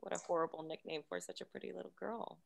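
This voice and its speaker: Woman as quiet, creaky-voiced android doctor. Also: fairly low pitch, fairly even intonation, quick pace, no echo. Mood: gentle, guarded. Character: calm, soft-spoken synthetic female doctor. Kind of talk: screenplay table read.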